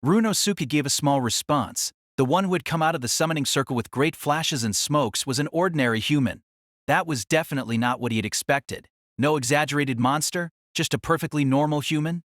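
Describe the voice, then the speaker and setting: A man's voice: fairly high pitch, a little fast, fairly bright tone, no room sound, clean studio sound. A man narrating a scripted story in a dramatic audiobook style.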